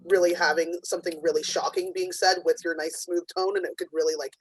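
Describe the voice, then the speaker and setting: A comedian judging a stand-up competition, chatty and upbeat, with a loud, abrasive voice. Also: mid-range voice, flat delivery, fast talker, soft background music, rough recording.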